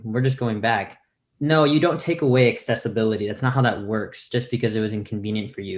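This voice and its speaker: A man speaking as an activist panelist, casual and opinionated, informally acting out imagined quotes. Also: medium pitch.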